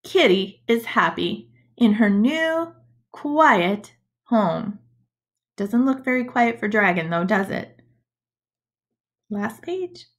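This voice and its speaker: A woman reading a storybook aloud to young children as a schoolteacher, in a deliberate, expressive manner. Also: mid-range voice. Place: indoors, webcam screen recording.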